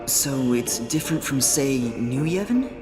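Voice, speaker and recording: Formal, deep-voiced young envoy. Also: female voice, lively intonation, a little slow, rough recording. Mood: polite. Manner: formal, courteous. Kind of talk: audio drama acting. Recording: loud music behind. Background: loud music.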